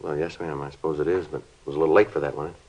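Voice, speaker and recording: An actor playing a terse, matter-of-fact American police sergeant in an old radio crime drama, with a flat, businesslike delivery. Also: male voice, extremely low pitch, rapid-fire, husky, heavily acted. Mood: coolly reproachful. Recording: dull, band-limited old radio recording.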